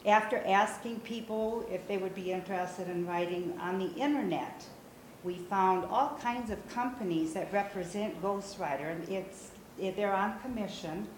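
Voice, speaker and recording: Older Brazilian-born woman talking in echoey room. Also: medium pitch. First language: Portuguese. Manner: conversational, frequent "uh" pauses, gestures while speaking. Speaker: memoir author giving a talk. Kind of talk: informal talk to a small audience. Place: small meeting room, indoors. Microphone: far camera. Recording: roomy echo, faint room noise.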